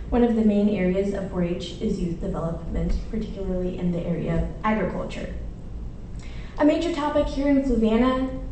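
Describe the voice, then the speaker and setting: A woman's voice: mid-range voice, dark tone, a little hiss or hum. A woman from Chile giving an earnest, formal prepared speech as a public commenter at a meeting. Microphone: lectern mic, heard from a distance. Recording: echoey meeting room from far off.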